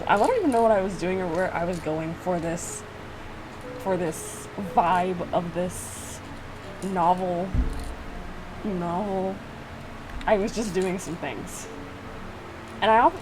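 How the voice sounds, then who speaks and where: A woman chatting casually in a playful, self-mocking way, like a YouTuber doing a reaction video. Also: medium pitch. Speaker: YouTuber reacting to own visual novel. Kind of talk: casual rambling reaction commentary. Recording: clearly noisy room recording.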